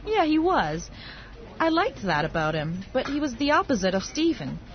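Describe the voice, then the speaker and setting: A woman's voice: a little high, normal speed. A woman acting out a friendly everyday chat in a scripted listening dialogue. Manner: conversational, expressive. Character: woman talking over her dating life. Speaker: dialogue actor. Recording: dull lo-fi audio.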